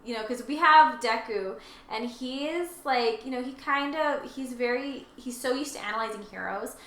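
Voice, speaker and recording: A woman with a bright, clear-edged voice at a middling pitch chats as a co-host about a story. The room is very echoey, with faint noise behind her, and her delivery is casual and conversational. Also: moderate pace.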